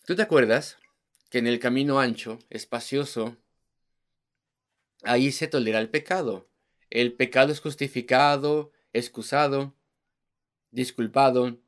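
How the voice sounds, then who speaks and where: A man's voice: medium pitch, steady tone, measured pace, long pauses. A man preaching an evangelistic sermon, driving his points home with rhetorical questions and repeated words in lists.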